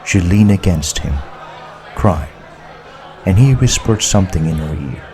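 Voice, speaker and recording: Male narrator, low register, unhurried and deliberate scripted storytelling. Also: animated, mellow, a little hiss or hum. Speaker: audiobook narrator.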